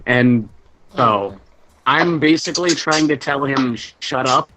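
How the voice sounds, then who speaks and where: A man's voice: medium pitch, a little slow, noisy background. A man chatting casually as a player in a tabletop game, crude and joking. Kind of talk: casual chat. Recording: music behind the voice.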